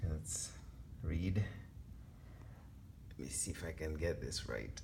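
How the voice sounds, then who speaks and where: A man in his thirties from Kenya with a Kenyan accent, presenting his photography exhibition in a soft-spoken, halting way with fillers. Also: rumbling low, creaky, noisy background. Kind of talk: gallery talk.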